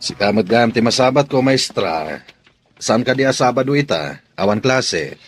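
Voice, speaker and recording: A man's voice: medium pitch, lively intonation, moderate pace, faint music behind, natural voice. A man with a Filipino accent acting a plain-spoken, questioning husband in a radio drama. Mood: casual.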